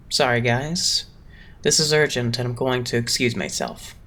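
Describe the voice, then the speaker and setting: A man acting a poised, condescending young idol leader in an anime-style dub, measured and theatrical. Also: mid-range voice, fairly bright tone. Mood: calm, condescending.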